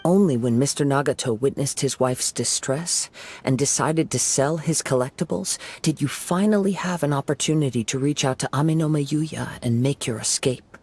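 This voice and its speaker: An actor voicing a calm, perceptive young wandering samurai in a video game, with a measured, soothing delivery. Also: male voice, medium pitch, breathy edge.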